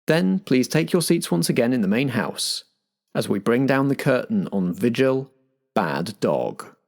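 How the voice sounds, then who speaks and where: Casting: male podcast compere, mid-pitched with a gravelly edge, chatty theatre-interval announcements addressed to the audience. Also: big pitch swings, a little slow, a little mellow. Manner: chatty, direct address to listeners.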